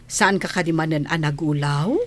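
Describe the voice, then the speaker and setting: A woman plays a doting older nanny in an Ilocano radio drama. She speaks with an Ilocano accent in a fairly low voice, patient, tender and motherly. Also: moderate pace, natural voice.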